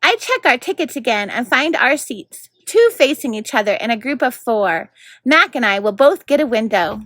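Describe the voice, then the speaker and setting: A woman's voice: a little high, sing-song, moderate pace, fairly bright tone, dry recording. A woman reading scripted narration from a children's book as host of a story time.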